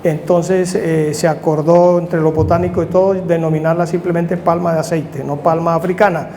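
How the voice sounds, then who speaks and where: An older man with a Colombian accent giving an academic lecture in measured, halting short phrases with restarts. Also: a little high, level tone, dark tone. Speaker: university lecturer, agronomist. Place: university seminar room. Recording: faint background noise.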